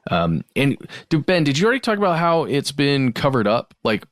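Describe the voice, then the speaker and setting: A man's voice: mid-range voice, big pitch swings, a little fast, no room sound. A man co-hosting a podcast, talking through ideas in a casual, conversational style. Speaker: podcast co-host.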